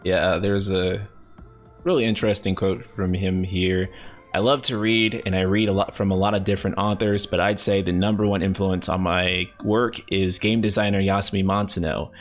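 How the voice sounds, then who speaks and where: A man's voice: deep voice, steady tone, normal speed, soft background music. A man hosting a casual live-stream chat, upbeat and chuckling, reading out viewer questions. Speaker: host.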